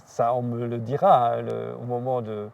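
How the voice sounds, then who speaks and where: Hesitant man, mellow voice. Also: mid-range voice, level tone, moderate pace, gravelly, recorded from a distance, lo-fi sound. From southern Germany. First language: German. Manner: hesitant, many fillers and restarts. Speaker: artist and biennial curator. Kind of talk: reflective interview answers.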